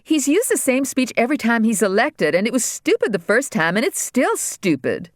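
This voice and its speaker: A spoiled teenage girl whines and rants in a high, shrill voice that swings all over the place, as a woman reads her. She sounds melodramatic and put-upon. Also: big pitch swings, moderate pace, no room sound, clean studio sound. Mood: complaining. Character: bratty whining teenage governor's daughter.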